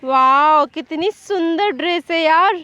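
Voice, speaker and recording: A woman's voice: very high voice, slow, dull audio. A woman playing a vain, self-centred young mother in a moral-story skit, with exclamatory, theatrical delivery. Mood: delighted, eager. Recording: music under the voice.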